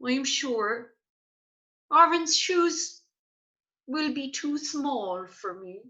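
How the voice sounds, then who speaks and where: A woman's voice: sits high, animated, extremely slow, bright, forceful tone, pitched above the performer's own voice, heavily acted, poor audio. A woman voicing a worried, plaintive male giant in a children's storybook, exclaiming as she reads. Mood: sad, doubtful.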